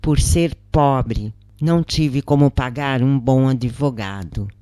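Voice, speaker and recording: A grave, patient imprisoned woman , as a narrator reads her, speaks slowly and earnestly with a Brazilian accent. She explains and confides in an audiobook story, with a dry, close sound. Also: a bass voice, mellow, no echo. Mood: grave, confiding.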